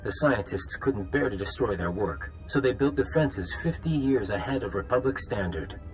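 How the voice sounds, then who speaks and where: Methodical game squad leader, male actor, mid-pitched, measured, authoritative, faint music underneath. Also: animated, lightly acted, poor audio. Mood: explanatory. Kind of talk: video game voice acting.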